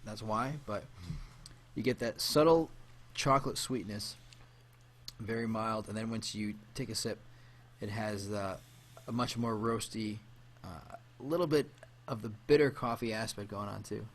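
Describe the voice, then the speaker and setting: Man casually describing a dark beer. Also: fairly low pitch, steady tone, a little slow. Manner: descriptive, hesitant with fillers. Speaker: podcast co-host. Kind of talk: casual beer tasting chat.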